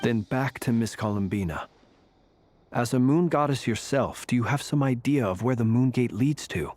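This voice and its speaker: Man acting analytical fantasy game gentleman, polite, formal, deliberate, mid-pitched, soft music. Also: dry recording, lightly acted. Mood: politely probing.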